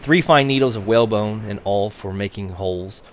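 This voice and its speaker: A man reading a novel aloud as a teacher would for his class, in an expressive audiobook style. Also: on the low side, moderate pace, slight background noise.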